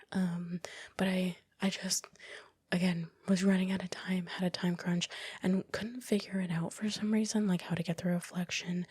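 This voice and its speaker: A woman narrates in a soft, fully breathy ASMR whisper, recorded close and very dry. Her delivery stays flat and even. She rambles casually through her own process, often critical of her own efforts. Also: fairly low pitch, no room sound. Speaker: ASMR video creator narrating own work. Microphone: close microphone, dry voice-over.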